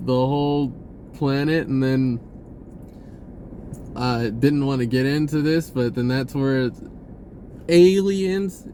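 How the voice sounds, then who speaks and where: A man giving a rambling, informal spiritual monologue in a halting, searching way, often repeating words and slipping into laid-back slang. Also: fairly high pitch, dark tone, slight background noise. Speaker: spiritual vlogger.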